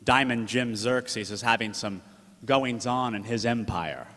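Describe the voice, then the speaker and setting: A man's voice: medium pitch, measured pace, fairly bright tone, close to an everyday voice. Man playing slangy old-time gumshoe, echoey hall. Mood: fond, urging. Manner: slangy wise-guy talk. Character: folksy 1940s gumshoe cousin, slangy, fond, protective man. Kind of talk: 1940s radio drama acting, narration and dialogue.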